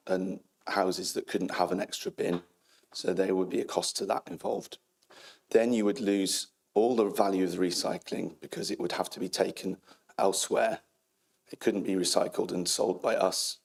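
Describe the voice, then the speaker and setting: A man on a council cabinet, hoarse from losing his voice, answering a public question in a firm, measured way. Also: sits low. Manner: firm, measured, ends dismissive.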